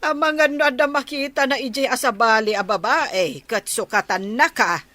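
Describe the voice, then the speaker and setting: A woman's voice: a little high, normal speed, sharp tone, no room sound. A woman playing a scheming, money-minded village mother in a radio comedy drama. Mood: scheming, eager.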